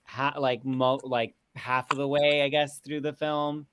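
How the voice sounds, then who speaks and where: A man chatting casually about film as a panelist, halting as he thinks aloud. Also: medium pitch, little pitch movement, background noise. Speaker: panelist on a film review show.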